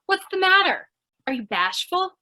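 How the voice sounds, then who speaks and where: A woman's voice: very high-pitched, sing-song, moderate pace, pushed and bright, a little hiss or hum, full character voice. A woman playing an eager, overconfident, bossy reporter in old-time radio style, gushing and theatrical. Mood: bossy urgency. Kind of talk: old-time radio comedy-thriller acting.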